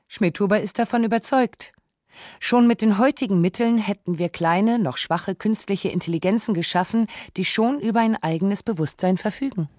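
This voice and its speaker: A woman reading a scripted radio documentary narration in a measured, reflective way. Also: mid-range voice, a little mellow, dry recording, clean studio sound.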